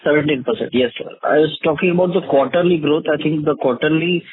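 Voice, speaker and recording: A man's voice: fairly high pitch, fast, husky. A man asking questions as an analyst on a conference call, speaking in a hesitant, polite way. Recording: muffled narrow phone line.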